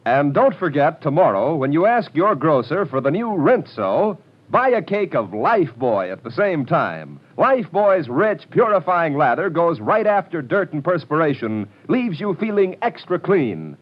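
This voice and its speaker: A male radio announcer giving a brisk, persuasive sales pitch in a 1940s radio advertisement. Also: big pitch swings. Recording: dull 1940s radio broadcast.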